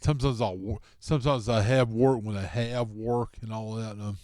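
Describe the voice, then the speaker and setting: A man from Florida chatting casually in a halting way, often repeating words. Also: on the low side, steady tone, darkish tone. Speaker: show regular. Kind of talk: casual introduction and chat.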